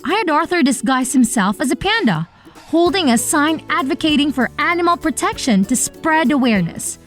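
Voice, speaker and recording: A woman voicing an earnest, optimistic young heroine who narrates her own animated story in an expressive, dramatic way. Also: high voice, moderate pace. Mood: earnest storytelling. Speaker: narrator and lead character. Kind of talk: animated first-person story narration with acted dialogue. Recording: voice over background music. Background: music.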